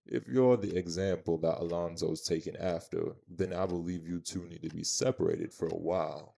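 Man playing imposing vampire spouse, very low voice, creaky, deadpan, haughty, protective, audio roleplay. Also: moderate pace, exaggerated voice. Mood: teasing, haughty.

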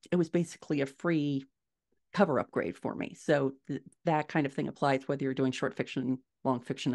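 A middle-aged woman answering interview questions conversationally, telling stories in an animated way on a video call from a room at home. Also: low-pitched. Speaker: podcast guest, indie author. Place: small furnished room at home, indoors.

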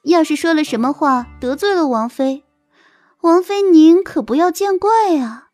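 A woman's voice: sits high, animated, measured pace, a little mellow, no echo, soft background music. A woman playing a haughty young concubine in an audio drama, mocking with falsely sweet, spiteful politeness. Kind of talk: Chinese audio drama acting.

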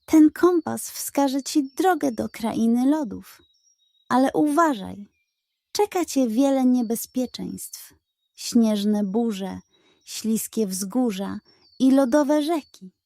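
A woman's voice: fairly high pitch, lively intonation, slow pace, creaky, dark tone, dry recording, exaggerated voice. A woman voicing a wise, grave female owl in a children's audiobook, speaking urgently and emphatically. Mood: solemn, instructing.

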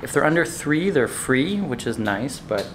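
A man's voice: medium pitch, normal speed, a little hiss or hum. A man in his forties hosting a casual travel vlog, talking to a camera held at arm's length outdoors. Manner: relaxed, chatty, explains details to camera. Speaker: vlog host. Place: outdoors on a desert trail.

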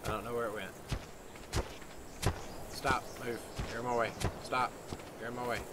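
A man improvising in-character video game roleplay, haltingly talking to himself and often repeating his words. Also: medium pitch, little pitch movement. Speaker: roleplay gaming video creator. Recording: loud noise and game ambience behind.

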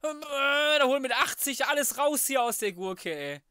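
A man's voice: very high-pitched, expressive, normal speed, sharp tone, no room sound, clean studio sound. A German man giving casual let's play commentary, talking to himself in a slangy, crude, jokey way. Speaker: gaming YouTuber, host.

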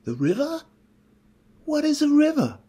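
A woman's voice: high-pitched, huge pitch swings, measured pace, mellow, pitched above the performer's own voice, full character voice. A woman voicing a small, timid but curious male mouse in a storybook tale, speaking earnestly and with wonder. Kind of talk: storybook character acting.

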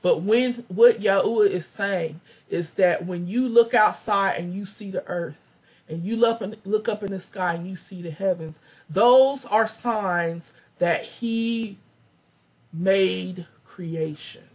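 A woman with a hoarse, raspy voice, still getting over laryngitis, teaching a scripture study lesson over slides and thinking aloud with many ums. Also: mid-range voice, slow, darkish tone.

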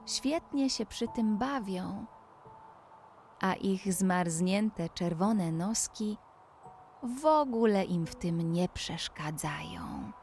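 A woman narrating a scripted children's bedtime story, speaking deliberately and addressing the young listener directly. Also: mid-range voice, lively intonation, a little mellow. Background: music.

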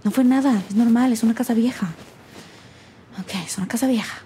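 A woman's voice: fairly high pitch, steady tone, brisk, warm tone, a little hiss or hum, lightly acted. An actress playing an anxious young woman alone in an old house, with a Mexican accent, talking nervously to herself in an audio drama. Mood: relieved, self-scolding. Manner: nervous self-talk, muttering, breaking into whispers. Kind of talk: naturalistic audio drama acting, spoken diary.

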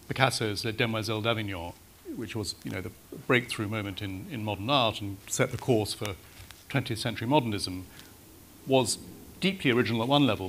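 A man's voice: medium pitch, a bit bright. A middle-aged man speaking on a festival panel with a mid-range voice. He thinks aloud, restarting phrases as he hunts for the right words. Faint room noise sits under his voice. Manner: thoughtful, searching for words, many restarts. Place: large marquee with audience.

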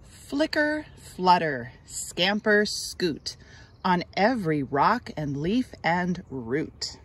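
A woman hosting an outdoor read-aloud for children, speaking to them with deliberate, expressive enunciation. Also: fairly low pitch. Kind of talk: children's story read-aloud and friendly outdoor talk to kids. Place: outdoors on a grassy trail. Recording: outdoor ambient noise.

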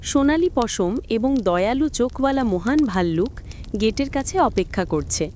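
A woman's voice: a little high, animated, moderate pace, darkish tone, a little hiss or hum. A woman reading scripted narration for a children's cartoon story.

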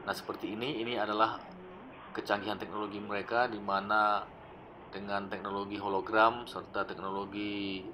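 A man narrating his old travel footage in a relaxed, explanatory voice-over, often pausing with fillers. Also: fairly low pitch, background noise. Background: background music.

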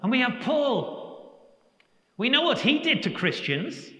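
Older priest preaching slow in a big church, kinda high voice, lots of echo. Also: male voice, very animated, sharp tone. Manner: slow, reflective preaching with emphatic pauses. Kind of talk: Sunday homily. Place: large church sanctuary. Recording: echoey church with long reverb.

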